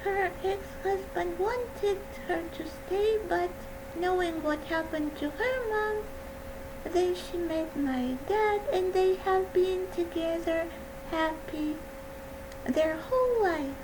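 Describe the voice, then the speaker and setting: A woman with a soft voice giving a gentle, earnest personal talk on mental health. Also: extremely high pitch, slow pace, over music, rough recording. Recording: steady background hum.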